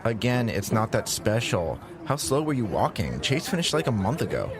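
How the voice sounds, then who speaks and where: A man's voice: fairly low pitch, big pitch swings, moderate pace. An actor playing a brash, sarcastic young man who teases his friends in an audio drama. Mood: mocking. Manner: mocking, swearing, teasing. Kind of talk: casual audio drama dialogue. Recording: busy crowd behind dialogue.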